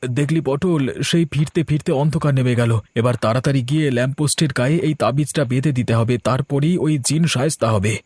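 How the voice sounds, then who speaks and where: A man's voice: mid-range voice, a little fast, darkish tone, breathy edge, no echo, lightly acted. A man voicing a bold, earnest village man in a cartoon, speaking urgently and expressively. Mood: urgent, planning. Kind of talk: cartoon story voice acting.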